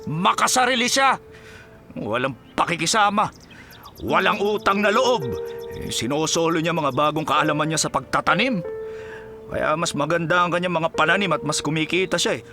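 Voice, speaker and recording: A man acts out a middle-aged Filipino farmer father for radio drama, his voice pitched high over background music. He is stern and resentful, scolding with heavy emphasis. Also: animated, normal speed. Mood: bitter.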